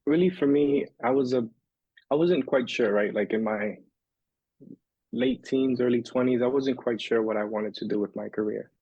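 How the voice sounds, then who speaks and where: A man from the Dominican Republic tells his story as a podcast guest, loose and rambling, full of restarts and fillers. His voice is husky. It comes through dry and a little dull, like a modest home setup. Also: mid-range voice, normal speed, no echo. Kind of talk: casual interview storytelling.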